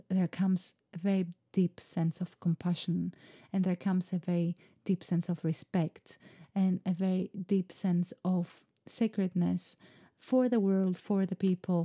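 Woman, native Greek speaker, musing monologue, very warm and mellow, lowish pitch. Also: steady tone. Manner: slow, meditative, musing delivery. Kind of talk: reflective spoken monologue.

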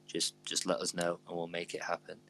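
A man's voice: deep voice, completely flat delivery, brisk, gravelly, a bit bright, slight background noise. A British man hosting a casual live show with jokey, sweary, blokey banter. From Britain. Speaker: host.

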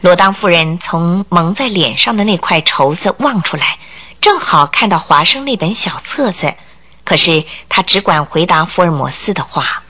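Expressive low-voiced woman narrator. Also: moderate pace. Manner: expressive storyteller. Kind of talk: scripted dramatic storytelling narration for radio drama. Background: background music.

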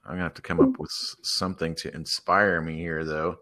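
A man with a low voice and a bright, forceful edge makes up a story as a podcast guest. He lays it out in measured phrases, pausing as he builds it piece by piece. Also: fairly even intonation. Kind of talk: improvised storytelling.

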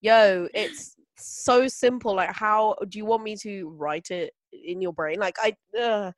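A woman's voice: mid-range voice, unhurried, dry recording. A woman hosting a podcast in casual chat, talking with loose restarts and frequent laughs, with a slight hay fever cough. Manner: chatty, laughs often, trails off. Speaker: podcast host.

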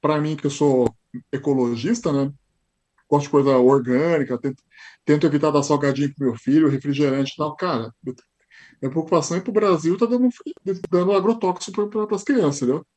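Fast-talking, husky Brazilian man. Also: a little high. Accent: São Paulo Portuguese. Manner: animated storyteller, acts out quoted dialogue. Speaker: podcast guest. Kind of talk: casual interview chat.

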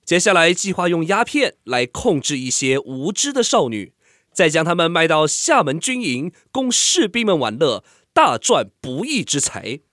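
A man's voice: on the high side, big pitch swings, moderate pace, a bit bright, no echo, studio quality. A man narrating a scripted drama as a dramatic storyteller.

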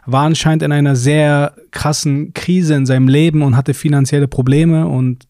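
Young dude cohosting a podcast, tells stories, says umm a lot, mellow low-key voice. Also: male voice, flat delivery, normal speed, no echo, clean studio sound. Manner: storytelling, frequent filler sounds. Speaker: podcast co-host. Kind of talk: casual chat.

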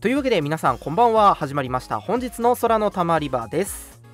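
A man's voice: sits high, big pitch swings, fast talker, fairly bright tone. Guy co-hosting a chatty radio show, voice goes up and down a lot, bit of music behind. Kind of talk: casual co-hosted radio chat.

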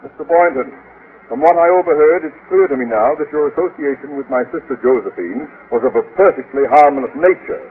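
A man's voice: a little high, brisk, husky. An actor playing a pompous, stern, blustering middle-aged man with formal diction in a vintage radio comedy. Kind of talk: 1950s radio comedy acting. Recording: tinny, hissy old radio broadcast.

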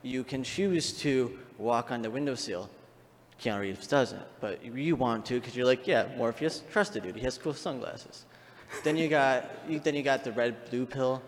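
A man's voice: medium pitch, normal speed. A man speaking as an audience member at a live panel, explaining his view through examples. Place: large convention panel room, on audience microphone. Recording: echoey hall microphone with background noise.

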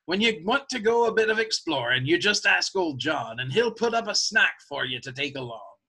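A crafty old one-legged cook, as a man reading a storybook puts him on. He drawls slowly and coaxingly in a bright, husky voice. He sounds a little back from the mic. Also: fairly high pitch, big pitch swings, full character voice.

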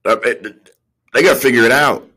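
A man's voice: mid-range voice, moderate pace, bright, forceful tone, husky. A man co-hosting a sports podcast, giving emphatic, slangy opinions in casual football chat.

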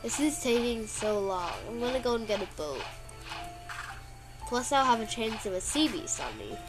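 A woman's voice: a little high, big pitch swings, normal speed, rough recording. A woman with a congested, sniffly voice, chatting casually and rambling while she plays a game, playfully complaining whenever she gets hit. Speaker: gameplay video creator. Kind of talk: casual gameplay commentary. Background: loud game music.

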